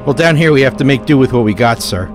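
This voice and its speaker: An actor playing an anxious, insistent American army private in a war audio drama, blunt and pessimistic. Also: male voice, medium pitch, animated, fast talker, over music, lightly acted.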